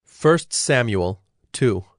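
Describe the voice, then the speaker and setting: A man's voice: mid-range voice, animated, very slow, no room sound, clean studio sound. A man narrating scripture from a script in a solemn style.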